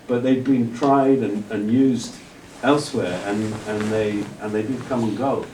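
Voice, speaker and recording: A man's voice: medium pitch, fairly even intonation, a little slow, recorded from a distance, background noise. Man lecturing in an echoey hall. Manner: discursive, many restarts and "you know". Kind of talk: lecture Q&A answer. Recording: roomy hall audio from afar.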